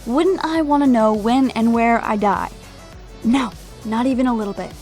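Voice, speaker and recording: A woman's voice: a little high, normal speed, darkish tone, faint music behind, natural voice. An actress playing a sensible teenage girl, incredulous and sardonic in audio drama dialogue. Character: skeptical, sensible teenage girl best friend.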